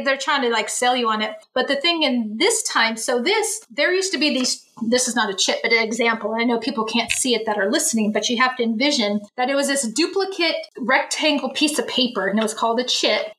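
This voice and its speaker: A woman's voice: sits high, bright, forceful tone. A woman in her forties, from the Bay Area, telling a long personal story in a chatty interview manner, with many restarts and asides. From San Francisco Bay Area. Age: 40s. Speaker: interview guest, Navy veteran. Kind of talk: casual storytelling.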